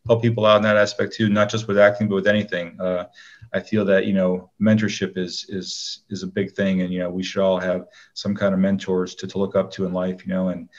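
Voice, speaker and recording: A man's voice: sits low, flat delivery, brisk. A man giving casual, modest and earnest answers as an interview guest. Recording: dull lo-fi line.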